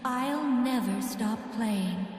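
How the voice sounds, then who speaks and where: An actress playing a gentle yet firm young woman musician in a fantasy video game, with soft, measured delivery and heavy echoing reverb. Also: a little high. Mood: serene, poised. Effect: heavy reverb and soft echo. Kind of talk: scripted fantasy game character voice lines.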